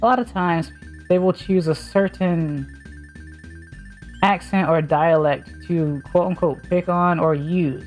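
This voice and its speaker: A woman's voice: lowish voice, slow pace, a little mellow, faint music behind. A woman with a mild Southern US accent, chatting casually on a stream and rambling as she thinks aloud with lots of fillers. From Southern United States.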